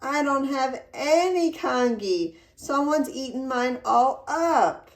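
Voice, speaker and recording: Woman as a plaintive high-pitched small child. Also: lively intonation, slow pace, full character voice. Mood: wailing, upset. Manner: wailing, plaintive. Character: small, whiny, upset child in a fairytale. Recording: roomy echo.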